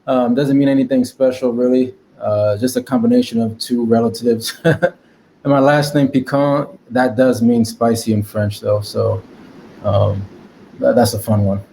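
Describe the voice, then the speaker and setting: Mellow American man chatting casually. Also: medium pitch, fairly even intonation, moderate pace, creaky, a little hiss or hum. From Connecticut, United States. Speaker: podcast guest, cybersecurity professional. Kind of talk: casual conversational storytelling.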